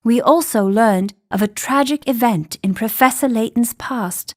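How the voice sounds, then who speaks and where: An actress playing a confident, sharp-tongued young woman, a professor's assistant, in an animated game. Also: fairly high pitch, a little slow, no room sound, studio quality. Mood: reflective. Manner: composed narration, teasing banter. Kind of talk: animated game cutscene acting and story narration.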